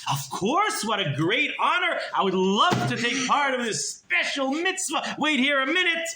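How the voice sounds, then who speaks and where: A man's voice: very high-pitched, very animated, measured pace, roomy sound, background noise, voice pushed up, exaggerated voice. A man voicing a wealthy male miser who speaks eagerly and fawningly, stammering as he offers more.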